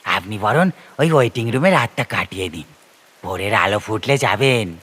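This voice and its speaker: A man playing a rustic tea seller in an audio horror story, blunt and cautioning, with a rough, husky voice. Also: fairly low pitch, lively intonation, moderate pace, fairly bright tone. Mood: gloomy warning. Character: rustic male tea seller warning of danger.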